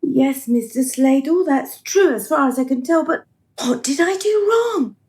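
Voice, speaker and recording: A woman's voice: high-pitched, lively intonation, measured pace, a little mellow. A woman playing a dutiful, unhappy dead woman in a radio play, plaintive, earnest and eager to please. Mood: plaintive, self-righteous.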